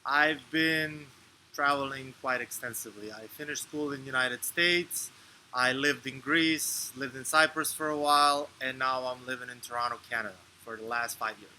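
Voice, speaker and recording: A man's voice: mid-range voice, fairly even intonation, pushed and bright, a little hiss or hum, poor audio. Serbian-born man answering interview questions. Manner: measured, explanatory, with frequent fillers and restarts. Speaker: interview guest.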